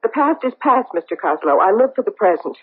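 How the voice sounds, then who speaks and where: An actress playing a guarded, anxious middle-aged socialite with a secret in a 1970s radio drama, speaking in a clipped, defensive, emotional way. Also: female voice, medium pitch, sing-song, a little fast, breathy edge. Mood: defensive, firm. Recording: muffled tinny old radio broadcast.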